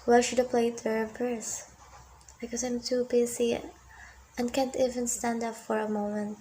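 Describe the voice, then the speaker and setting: A woman voicing a busy, demanding mother in an amateur animated cartoon. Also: a little high, normal speed, close to an everyday voice. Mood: bossy, busy. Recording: muffled, distant, clearly noisy background.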